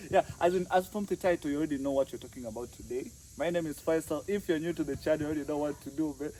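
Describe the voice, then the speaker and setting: A man's voice: fairly high pitch, rapid-fire, mellow, poor audio. A man from Tanzania hosting a casual vlog outdoors by a lake, laid-back and laughing often, with lots of "like" and "man". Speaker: vlog host. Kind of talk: casual chat.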